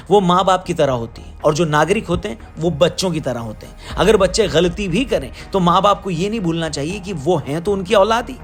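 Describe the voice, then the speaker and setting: This is a man. He delivers scripted news commentary as a video host, pressing his points emphatically and speaking directly to the audience. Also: high-pitched. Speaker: host of an explainer video channel.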